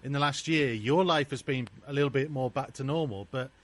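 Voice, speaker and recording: A man's voice: medium pitch, fairly quick. A man with a mid-range voice who co-hosts the interview. He takes his time to set up his questions and lets them ramble on. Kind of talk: casual interview.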